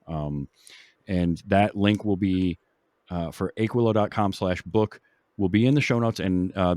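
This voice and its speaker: A man's voice: low voice, a little mellow, dry recording. A man hosting a podcast, talking casually and plugging the show. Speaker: podcast host.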